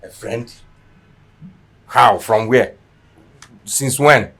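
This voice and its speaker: Henpecked Nigerian husband, animated pitch swings, gravelly edge, flustered repetition, screen drama. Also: male voice, moderate pace. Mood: questioning. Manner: stammering repetition when agitated. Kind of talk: Nollywood film drama acting.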